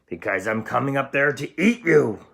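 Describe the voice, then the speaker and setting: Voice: medium pitch, expressive, moderate pace, creaky, fairly bright tone, voice pushed up. Someone putting on a roaring, greedy old troll. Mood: roaring, threatening. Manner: roaring threats, muttering when scheming. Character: hideous, stinky old male bridge troll, greedy bully.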